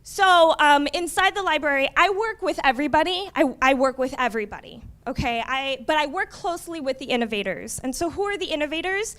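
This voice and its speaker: A woman's voice: high-pitched, lively intonation, brisk, hardly any pauses, fairly bright tone. A young woman from Miami, a community college librarian, giving a conversational conference presentation with wry asides. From Miami, USA.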